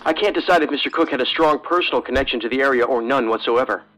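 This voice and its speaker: A man playing an analytical, bluntly perceptive federal agent in an audio drama, deadpan, musing and pedantic. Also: mid-range voice, quick pace, faint music behind, close to an everyday voice. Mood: musing, casual.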